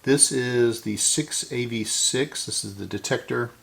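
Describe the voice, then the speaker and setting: A man's voice: mid-range voice, unhurried, gravelly, fairly bright tone, a little hiss or hum. A middle-aged man hosting a casual hands-on repair demonstration, talking to himself unscripted as he works. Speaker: host of a vintage electronics repair channel. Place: indoor electronics workbench.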